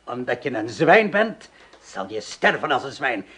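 A man's voice: mid-range voice, sing-song, a little slow, a bit bright. An actor playing a vengeful village man who stages a mock trial as its president, theatrical and menacing. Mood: cruel. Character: vengeful male village ringleader acting as judge. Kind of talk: Dutch radio drama acting.

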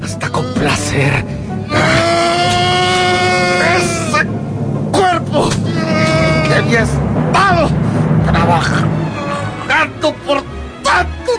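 An actor playing an anguished young scientist in a radio drama, halting, dramatic and emotional. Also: male voice, extremely high pitch, huge pitch swings, a bit bright, faint music behind, full character voice. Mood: frenzied anguish. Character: anguished young male scientist, dutiful, haunted by guilt. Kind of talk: radio drama acting and narration.

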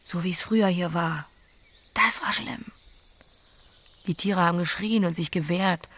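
A woman's voice: lowish voice, unhurried, a bit bright, slight background noise. An actress playing a young farm woman who butchers pigs, blunt and plain-spoken but tender, in a naturalistic audio drama. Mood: matter-of-fact, earnest.

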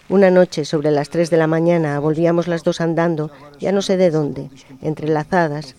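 Mellow man reading a letter softly. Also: on the high side. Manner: slow, intimate, reflective reading. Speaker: radio presenter. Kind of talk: reading a personal letter aloud on radio. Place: radio studio. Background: soft guitar music.

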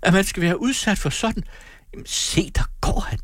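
A man's voice: high voice, lively intonation, a bit bright, no room sound, whispered, studio quality, pitched above the performer's own voice. A man voicing a fussy, indignant young woman in a storybook, exclaiming protectively.

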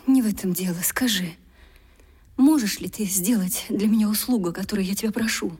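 An actress playing a poor, desperate gentlewoman in a period radio drama, pleading with sighs and dropping toward whispers. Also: medium pitch, a little fast, husky. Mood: pleading, urgent. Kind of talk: Russian radio drama acting.

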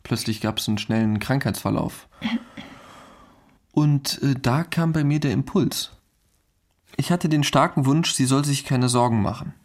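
A man's voice: mid-range voice, natural voice. A man playing an earnest, affable foreign husband in China, reminiscing conversationally and searching for words in a documentary radio play. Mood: subdued.

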